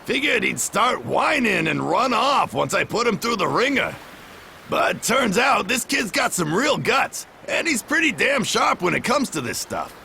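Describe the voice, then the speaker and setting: An actor playing a gruff, boisterous veteran shipwright mentor in a video game, with a gravelly voice and blunt, hearty, colloquial talk. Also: male voice, high voice, sing-song, unhurried, bright, forceful tone, slight background noise, heavily acted. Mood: hearty, impressed. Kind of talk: video game cutscene voice acting.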